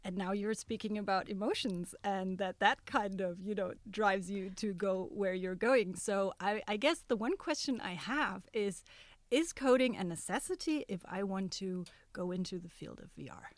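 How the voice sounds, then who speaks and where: A woman's voice: mid-range voice, normal speed, no room sound. Friendly woman podcast host. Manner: friendly, upbeat, with frequent fillers. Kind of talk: casual interview hosting.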